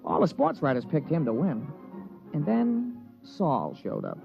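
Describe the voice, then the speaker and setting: An actor playing an excitable, folksy golf caddy in a 1950s radio drama, talking in slangy, ungrammatical working-class style. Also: male voice, a little high, measured pace, creaky, full character voice. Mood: folksy narration. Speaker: narrator and sidekick character. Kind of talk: 1950s radio drama acting, colloquial narration. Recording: dull old radio broadcast recording, music behind.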